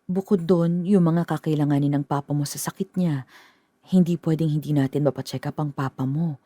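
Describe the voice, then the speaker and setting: A woman playing a husky-voiced worried mother. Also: low voice, steady tone, warm tone, no echo. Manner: hesitant, halting. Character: worried middle-aged mother, hesitant. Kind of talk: radio drama acting.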